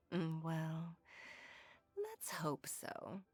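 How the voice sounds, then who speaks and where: A woman's voice: sits low, huge pitch swings, heavily acted. A woman playing an elegant, composed noblewoman leader in a video game, speaking in a formal, refined manner. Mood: gracious, composed. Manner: formal, refined, measured diction. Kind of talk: video game character acting.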